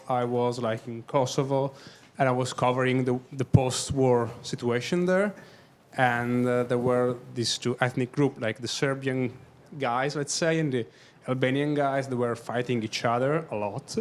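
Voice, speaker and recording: Italian guy asking from the crowd, halting english, stops and restarts, mid pitch. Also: slight background noise. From Italy. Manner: halting, searching for words, then quick bursts. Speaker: audience member, documentary photographer. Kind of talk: question-and-answer at a talk.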